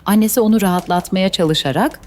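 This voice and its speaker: A woman's voice: mid-range voice, fast talker, darkish tone. A woman narrating a scripted children's story as the storyteller. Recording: faint background noise.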